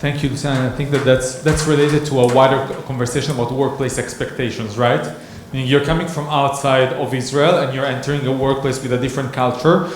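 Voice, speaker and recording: A man's voice: medium pitch, steady tone, brisk, hardly any pauses, a little hiss or hum. A man moderating a workshop discussion, talking in a hesitant, thoughtful way with many restarts. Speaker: session moderator. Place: conference hall. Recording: echoey conference hall.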